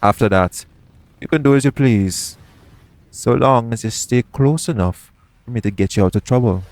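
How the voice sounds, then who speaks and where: A man's voice: medium pitch, normal speed, darkish tone, close to an everyday voice. A man playing a shady, persuasive pirate captain in an audio roleplay, bargaining straight to the listener in roguish, ungrammatical speech. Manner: coaxing, bargaining, roguish dialect grammar. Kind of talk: audio roleplay acting, addressing the listener directly.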